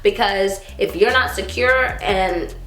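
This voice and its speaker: A woman in her twenties from Texas, chatting casually as she answers viewer questions, giggling often and sometimes trailing into a soft mumble. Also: mid-range voice, slow pace. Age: 20s. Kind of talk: casual chat. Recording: roomy echo with faint music.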